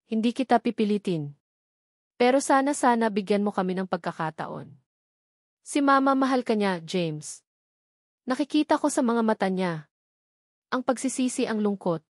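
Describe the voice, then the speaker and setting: A woman with a Filipino accent voicing a gentle, forgiving young sister in an audiobook, earnest and tender. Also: a little high, lively intonation, moderate pace, long pauses, no echo, studio quality, close to an everyday voice. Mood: gentle, pleading. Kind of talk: audiobook character dialogue.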